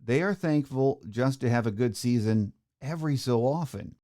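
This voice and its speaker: A man's voice: mid-range voice, moderate pace, warm tone. A middle-aged man hosting a sports podcast, delivering a scripted monologue. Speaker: podcast host.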